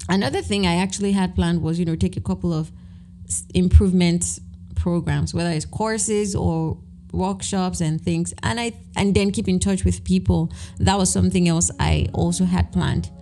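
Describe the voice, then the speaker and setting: Slightly raspy woman hosting a podcast. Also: fairly low pitch, steady tone, moderate pace, dark tone. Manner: earnest, encouraging, many fillers. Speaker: podcast host. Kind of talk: solo reflective podcast talk.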